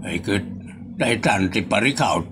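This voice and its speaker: A man's voice: lowish voice, lively intonation, normal speed, noisy background, close to an everyday voice. A man with an Ilocano accent playing a weary, grumbling husband and father in a comedy audio drama.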